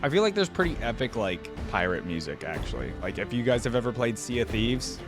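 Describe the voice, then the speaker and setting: A man's voice: medium pitch, big pitch swings, a little fast, music in the background. A man giving casual reaction commentary as a host, conversational and thinking aloud.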